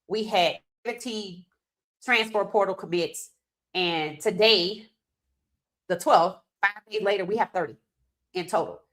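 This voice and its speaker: A woman's voice: lowish voice, a bit bright. A woman hosting a casual sports podcast, a little congested from a cold, chatting loosely and talking straight to her viewers. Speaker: podcast host.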